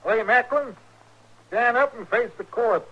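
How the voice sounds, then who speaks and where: A muffled old radio recording of an elderly, cantankerous frontier judge. His voice is very high for a man, with a rural Western American accent and plain-spoken, folksy bluntness. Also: unhurried, sharp tone, slightly rough, heavily acted. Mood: blunt, scolding. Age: older. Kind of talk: 1950s radio western acting.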